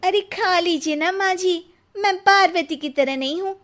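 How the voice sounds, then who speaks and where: A woman's voice: extremely high pitch, moderate pace, a bit bright. A woman voicing a quarrelsome young daughter-in-law in a cartoon, taunting and bickering. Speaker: voice actor. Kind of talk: cartoon voice acting.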